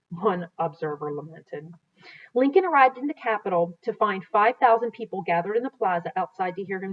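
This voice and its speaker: An American woman reads a history book aloud as a teacher, in an explanatory, teacherly way. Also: medium pitch. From United States. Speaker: teacher reading aloud to students. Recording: dull, lo-fi sound.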